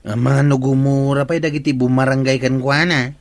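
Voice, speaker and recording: A man's voice: mid-range voice, little pitch movement, normal speed, close to an everyday voice. A man plays a disgruntled villager in a radio comedy drama. His voice sits mid-range, with an Ilocano Filipino accent and an earnest, complaining delivery. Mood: aggrieved.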